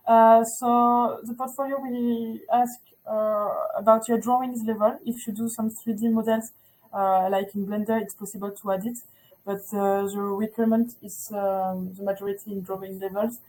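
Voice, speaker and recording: A woman presents her school in a webinar. Her English is halting and full of "uh" and "um", and her voice sits at an ordinary middle pitch. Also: a little mellow, low-quality recording. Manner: hesitant, many fillers, non-native English. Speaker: school representative.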